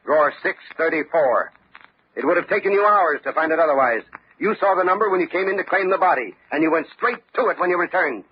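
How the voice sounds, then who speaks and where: An actor playing a shrewd, unflappable police lieutenant in a radio detective drama, speaking methodically and with confidence. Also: male voice, on the high side, lively intonation, fast talker, slight background noise, lightly acted. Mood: coolly accusing. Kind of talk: old-time radio detective drama acting. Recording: dull vintage radio recording.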